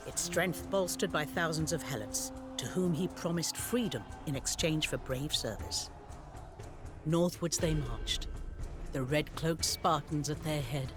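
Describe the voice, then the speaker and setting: A man narrating a historical strategy game as a dramatic storyteller of ancient campaigns. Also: fairly high pitch. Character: male storyteller recounting ancient Spartan campaigns.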